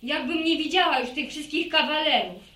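A woman's voice: high voice, normal speed, sharp tone, recorded from a distance. An actress playing a sulky, headstrong young village woman in a radio drama, dismissive and folksy, recorded in a roomy sound away from the mic.

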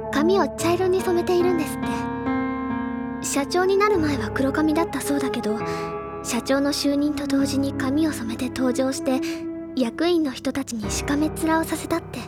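A woman playing an earnest, imaginative high-school senior girl in an audio drama, speaking deliberately in literary, feminine phrasing. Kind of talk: Japanese audio drama acting, dramatic explanatory monologue. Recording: loud music bed behind the voice.

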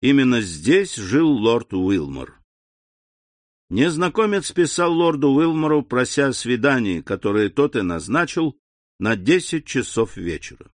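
A man narrating a classic novel as an audiobook, in an even, measured reading on a close studio mic. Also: medium pitch, dry recording.

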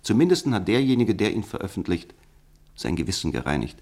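A worldly, cynical man in a radio drama, with a very lively, swinging delivery. He argues sardonically and likes to prod with pointed rhetorical questions. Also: fairly low pitch, fairly quick. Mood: sardonic. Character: worldly cynical man, probing and lecturing his friend. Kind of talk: German radio play dialogue.

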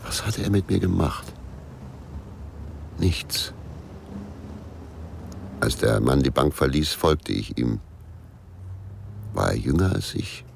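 A man's voice: very deep voice, very animated, slow, creaky, pauses often, full character voice. An actor playing a bitter, brooding abandoned husband who tells his own story tersely in a German radio crime drama. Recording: noisy, with ambient sound.

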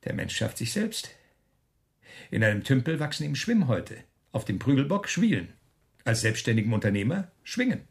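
A man acting in a German radio play as a smug, menacing officer who taunts a prisoner with mocking, drawn-out questions. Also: medium pitch, big pitch swings. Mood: boastful, contemptuous.

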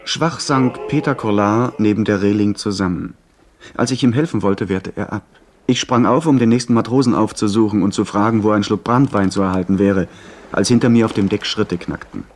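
An actor playing an earnest young gentleman who narrates his adventure in a measured, literary way for a radio play. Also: fairly low pitch, slight background noise, natural voice. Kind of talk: scripted first-person radio play narration.

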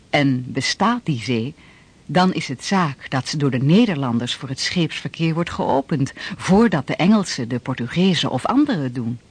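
A man narrating a historical radio drama in a measured, literary, declamatory way as a grave chronicler. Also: fairly high pitch, husky.

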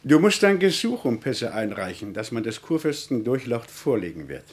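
An actor playing an evasive government official in a German radio drama, bureaucratic and dismissive. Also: male voice, medium pitch, very animated, moderate pace, close to an everyday voice. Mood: officious. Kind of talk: German radio drama acting, formal period speech.

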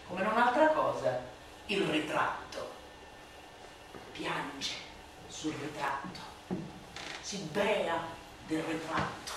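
Echoey, theatrical woman reciting satire. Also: deep voice. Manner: declamatory, sardonic, swinging from hushed to shouted. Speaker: stage and radio actor performing a satirical monologue. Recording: very echoey room, distant mic, faint noise.

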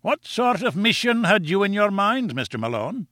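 A man's voice: sits high, sing-song, moderate pace, pushed and bright, no room sound, clean studio sound, pitched above the performer's own voice, exaggerated voice. A man voicing a kindly, crabbed old Scottish newspaper editor in an audiobook, with an avuncular, exclamatory way of talking. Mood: alarmed, discouraging. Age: older. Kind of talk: audiobook character dialogue.

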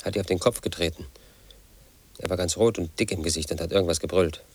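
An actor playing a brooding, paranoid man in his thirties, telling his own story as a flat inner monologue in a radio play. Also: low voice, fast, slightly rough, dry recording. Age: 30s. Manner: flat, brooding, quietly obsessive.

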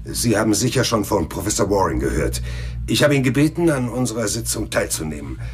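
A man's voice: lowish voice, sing-song, a little fast. An actor playing a curt, businesslike male police commissioner in a radio crime drama, clipped in manner.

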